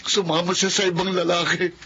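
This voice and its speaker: A man's voice: sits high, level tone, brisk, pushed and bright. A man playing a bitter, hot-tempered betrayed husband in a Filipino radio drama, brooding and anguished. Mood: vengeful anguish. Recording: dull, noisy lo-fi audio.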